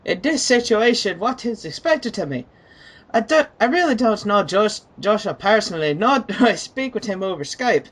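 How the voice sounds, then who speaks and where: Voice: medium pitch, expressive, normal speed, a bit bright. A person reading written comments aloud as part of a group dramatic reading.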